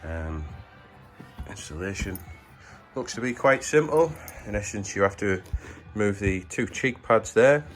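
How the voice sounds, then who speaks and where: A man's voice: lowish voice, lively intonation, unhurried, soft background music. A man giving a casual, unscripted how-to demonstration in a matter-of-fact, hesitant way as he works with his hands. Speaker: presenter of a DIY installation tutorial.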